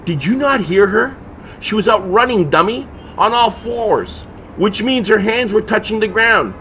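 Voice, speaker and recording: A man plays a cranky brother in a comedy horror audio drama. His voice is high and swings widely in pitch. He is sarcastic and exasperated, with faint noise behind him. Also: animated, unhurried, pushed and bright, exaggerated voice.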